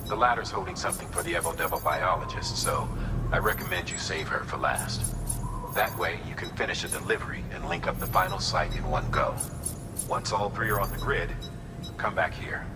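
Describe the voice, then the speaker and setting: A man playing a matter-of-fact mission coordinator in a video game, giving deliberate, businesslike instructions. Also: low-pitched, music in the background, lightly acted. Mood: businesslike, serious. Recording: long hall reverb, noisy ambient background.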